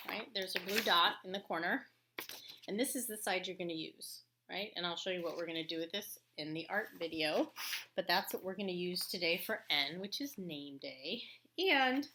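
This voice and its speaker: A woman with a medium-pitched voice speaks as an elementary school teacher to children. She delivers a read-aloud lesson, mixing storybook reading with chatty, explanatory asides about what is shown. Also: pushed and bright, a little hiss or hum. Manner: explanatory, pauses to comment on pictures.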